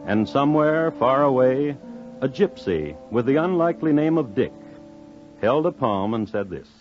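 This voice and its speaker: An actor narrating a 1950s radio crime drama as a dry-witted, deadpan storyteller with formal, measured phrasing. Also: male voice, medium pitch, close to an everyday voice. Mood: droll, measured. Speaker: host and narrator. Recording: dull old radio recording. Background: faint music.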